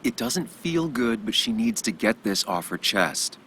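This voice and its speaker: An actor playing a brooding, loyal journalist in his thirties in a video game, with measured, understated delivery. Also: medium pitch, sing-song, fairly bright tone, slight background noise. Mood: cautious. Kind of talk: naturalistic video game acting.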